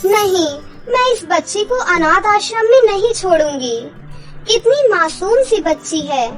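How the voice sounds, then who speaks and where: A woman's voice: very high-pitched, expressive, unhurried, faint music behind, rough recording. A woman voicing a kind, protective fairy mother in a children's cartoon story. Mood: tender, resolute.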